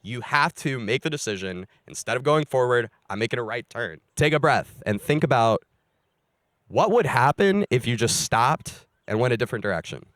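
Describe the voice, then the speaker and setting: Brief: young man, personal narration, wry and candid, lively up-and-down delivery, dry close sound. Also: mid-range voice, normal speed, dry recording. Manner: candid, wry and self-mocking. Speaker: streamer and musician.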